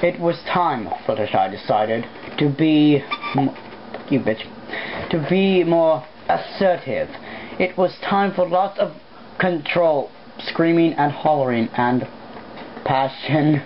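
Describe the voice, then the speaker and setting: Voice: medium pitch, expressive, slow pace. An amateur reading fan fiction aloud in a casual way, breaking off to make irreverent, sweary remarks about slip-ups. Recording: dull lo-fi home recording, background noise.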